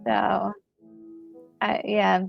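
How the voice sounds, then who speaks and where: A woman talks slowly and haltingly with a gravelly fry in her voice, reacting casually as a gaming co-host. The recording is a little dull, with music behind her. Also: mid-range voice, flat delivery. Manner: halting, searching for words.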